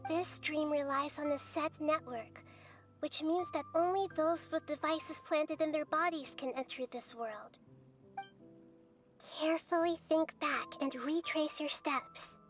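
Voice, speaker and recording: A woman voicing a small, wise girl deity in a video game, calm and earnest as she lectures. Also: high voice, unhurried. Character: small girl god, gentle, wise, calmly stern. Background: faint game music.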